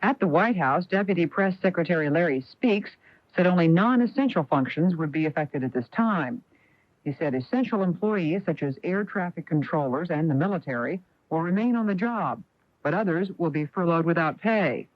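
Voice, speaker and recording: A man's voice: on the high side, lively intonation, moderate pace, no room sound. An American man reading a scripted newscast as a radio anchor. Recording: dull lo-fi vintage AM radio.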